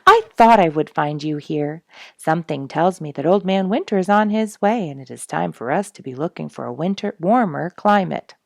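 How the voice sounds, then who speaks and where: A woman's voice: lowish voice, animated, moderate pace, gravelly, no echo. A woman voicing a jolly male robin from a children's storybook, in a hearty, exclamatory manner. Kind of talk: children's storybook character reading.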